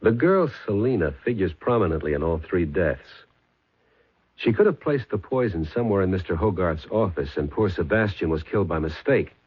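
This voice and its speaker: An actor playing a persistent police sergeant with a clipped, probing way of questioning, in a 1970s radio drama. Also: male voice, sits low, lively intonation, moderate pace, slightly rough. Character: persistent middle-aged male police sergeant. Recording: tinny old radio broadcast.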